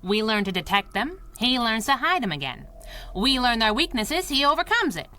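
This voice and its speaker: An actress playing a tough, wisecracking young masked sidekick in a 1930s-style radio adventure, brash and sardonic. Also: female voice, fairly high pitch, big pitch swings, normal speed, pushed and bright. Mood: brisk, hard-boiled.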